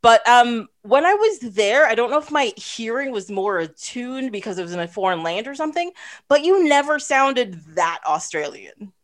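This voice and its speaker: A woman's voice: a little high, very animated, normal speed. A woman in her thirties from Canada, hosting a podcast and chatting casually, laughing often and using lots of fillers. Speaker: podcast host. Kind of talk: casual chat.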